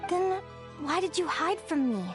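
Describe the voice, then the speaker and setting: An actress playing a sweet, perceptive young changeling girl, a younger sister in a fantasy video game, with an earnest, gentle delivery. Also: extremely high pitch, expressive, measured pace. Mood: probing. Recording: music under the voice. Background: background music.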